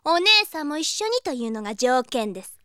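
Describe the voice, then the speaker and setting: A woman's voice: sits high, lively intonation, fairly quick, clean tone, dry recording, studio quality. An actress playing a haughty rich young lady in an anime audio drama, with pompous, ornately polite speech. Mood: businesslike, superior. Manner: pompous, mannered ojou-sama politeness.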